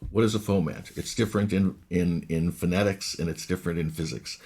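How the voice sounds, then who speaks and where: Give me a low, husky-voiced man in his sixties who chats as an interview guest and explains things at length. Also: steady tone, fairly quick, darkish tone. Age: 60s. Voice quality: raspy. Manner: explains at length, with many restarts. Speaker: guest, ghost hunter and recording engineer. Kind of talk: casual interview chat.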